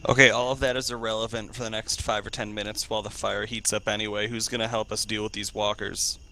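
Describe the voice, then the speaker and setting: Low, even, bright-edged man, matter-of-fact. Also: fast talker, a little hiss or hum. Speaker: tabletop role-play player. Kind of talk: improvised role-play over group voice chat. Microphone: online voice chat.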